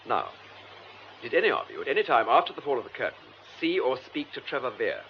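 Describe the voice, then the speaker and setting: An actor playing a composed British police detective in a radio drama, questioning suspects in a measured, courteous way. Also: male voice, medium pitch, wildly swooping pitch. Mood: methodical, probing. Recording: muffled radio broadcast, faint noise.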